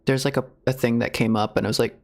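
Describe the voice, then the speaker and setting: A man's voice: mid-range voice, fairly even intonation, fast, a little mellow. A man giving casual live reactions as a game streamer, rambling and searching for words.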